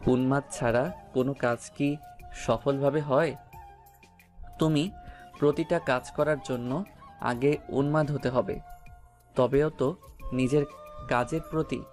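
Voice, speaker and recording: A mischievous young husband, acted by a man in a romantic audio story. His voice sits at a middle pitch, coy and teasing, with music playing underneath. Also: steady tone, takes it slowly, pauses often, a little mellow, close to an everyday voice.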